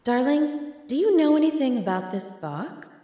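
A woman voicing a gentle, curious royal wife in a video game, soft and polite. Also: high-pitched, very animated, moderate pace, soft background music. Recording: long echoing flashback reverb.

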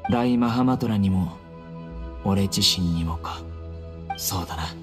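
A man playing a stern, composed young desert judge in an anime game, speaking in a measured, solemn way. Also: low voice. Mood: resolute. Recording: game music behind the voice.

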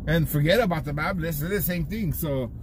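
A man's voice: fairly high pitch, a little fast. A middle-aged barber vlogger talking casually from his car, rambling and restarting his sentences often. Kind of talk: casual vlog talk. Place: parked car. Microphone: phone camera on the dashboard. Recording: noisy car interior.

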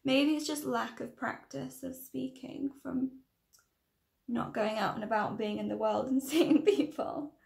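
A woman in her thirties with a croaky, tiring voice, chatting and correcting herself as she presents a casual tutorial to camera. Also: high voice, fairly even intonation, a little slow, darkish tone, roomy sound. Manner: chatty, rambling, self-correcting. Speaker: makeup tutorial vlogger. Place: small room indoors.

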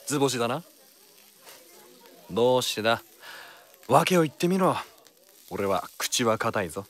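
An actor playing a blunt, bossy but protective older-brother comrade in a radio drama. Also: male voice, medium pitch, sing-song, measured pace, fairly bright tone. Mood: nagging, probing. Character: gruff, protective senior male comrade, bossy mentor. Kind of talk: anime-style radio drama acting.

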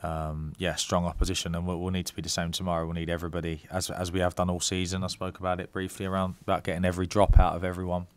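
Low, flat-voiced football manager. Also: male voice, clean tone, no room sound. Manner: measured, many ums and restarts. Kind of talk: press conference answers. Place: club press room. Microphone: desk mic.

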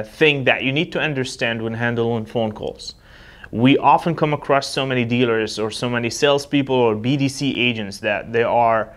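A man's voice: medium pitch, a bit bright. Man giving an emphatic sales training talk. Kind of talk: instructional talk with acted example dialogues. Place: indoor studio with backdrop.

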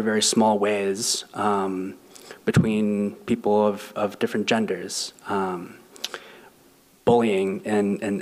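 Soft-spoken young man, halting testimony. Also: fairly low pitch. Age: 20s. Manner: thoughtful, halting with frequent ums and restarts. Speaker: guest speaker. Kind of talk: personal testimony at a service. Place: church sanctuary at a lectern. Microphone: lectern mic.

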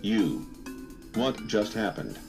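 Man putting on a blustering, forceful knight. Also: fairly low pitch, big pitch swings, slow pace, vocal fry, full character voice. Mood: accusing. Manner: blustering, formal. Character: gruff, suspicious, dutiful male knight. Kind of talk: fan dub of a fantasy video game. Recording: loud game music behind the voice.

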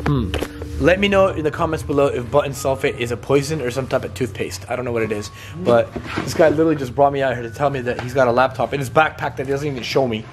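A young man vlogging as he explores an abandoned house, talking excitedly to his viewers and swearing now and then, recorded on a handheld camera. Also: medium pitch, fast talker, reverberant, over music. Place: dark abandoned house, indoors. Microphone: handheld camera mic.

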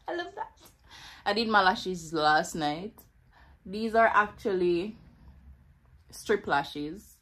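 A woman in her thirties talks to her phone camera at home in an unhurried, easygoing way. She laughs often and keeps her voice at a middling pitch while taking her time over every thought. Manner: relaxed, laughing, slow and easygoing. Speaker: vlogger. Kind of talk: casual chatty vlog. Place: bedroom and bathroom of a home. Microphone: phone camera held close.

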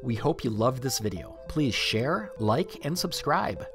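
A man reading a scripted DIY tutorial narration in a clear, step-by-step instructional way. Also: medium pitch, very animated, unhurried, loud music behind the voice.

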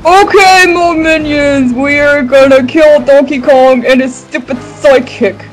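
A woman's voice: sits high, fairly even intonation, a little slow, soft background music, low-quality recording. A woman as a girlish, bossy reptile king. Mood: bossy, petulant. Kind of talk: amateur cartoon parody dub.

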